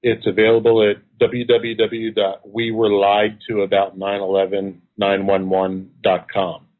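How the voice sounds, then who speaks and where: A man's voice: lowish voice, monotone, a little slow. A man being interviewed as a guest over the phone, explaining at length in an earnest way. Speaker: guest, activist and researcher. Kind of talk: interview answers. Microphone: phone line. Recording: tinny phone line.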